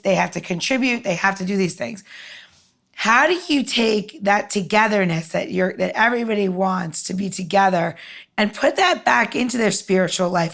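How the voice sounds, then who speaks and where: Female podcast host, mid-pitched with creak, conversational, thinks aloud in rambling questions. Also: fairly bright tone. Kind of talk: casual chat interview.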